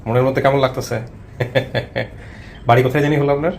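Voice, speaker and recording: A man's voice: medium pitch, steady tone, moderate pace, darkish tone, slight background noise. A man with a Bangladeshi accent hosts in a conversational way, repeating answers back and asking short probing questions. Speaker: host of a family reunion show. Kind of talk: conversational interviewing.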